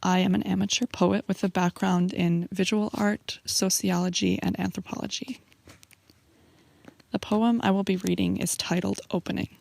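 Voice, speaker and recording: Low, creaky-voiced woman reading poetry. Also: a little mellow, dry recording. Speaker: amateur poet. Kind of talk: measured introduction and poetry reading.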